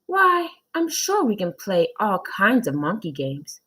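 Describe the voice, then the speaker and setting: Flustered storybook schoolteacher, played by a woman reader: high swooping pitch, slightly husky, emphatic and incredulous. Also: huge pitch swings, measured pace, fairly bright tone, exaggerated voice. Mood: apologetic. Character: flustered, dramatic, nice but confused woman schoolteacher. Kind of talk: children's storybook character voice.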